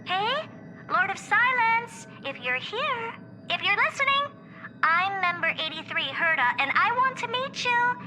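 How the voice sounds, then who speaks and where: A woman's voice: very high voice, big pitch swings, unhurried, sharp tone, full character voice. A woman voicing a proud, eccentric, youthful female genius scientist in a video game, self-assured and theatrical, delivering scripted monologues. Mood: eager, calling out. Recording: music behind the voice. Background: music.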